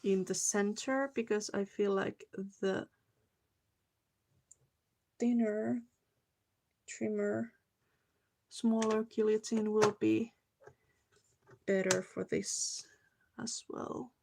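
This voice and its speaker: Slow-talking Finnish-accented woman crafting. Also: medium pitch, fairly even intonation, creaky. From Finland. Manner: chatty, searches for words, talks to viewers while working. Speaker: card-making streamer. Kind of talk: casual live crafting chat. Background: clicking crafting tools.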